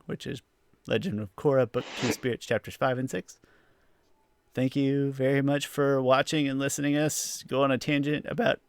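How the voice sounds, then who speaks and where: A man's voice: mid-range voice, gravelly, a bit bright, no echo. A man hosting a podcast, chatting casually through a review in a rambling, hedging way. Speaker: podcast host.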